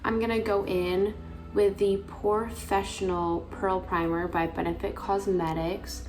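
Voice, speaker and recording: A young woman with a raspy voice, chatting casually through a makeup tutorial with lots of filler words. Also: medium pitch, level tone, unhurried, a little mellow, faint music behind. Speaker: beauty vlogger.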